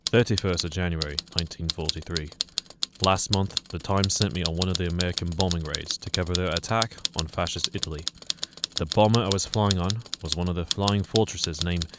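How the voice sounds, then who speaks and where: A man's voice: deep voice, fairly even intonation, brisk, lightly acted. A man playing a prim, timid English war reporter in a radio drama, formal. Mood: solemn narration. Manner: formal, stammering when flustered. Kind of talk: radio drama narration and acting. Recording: very loud noise and typewriter clatter.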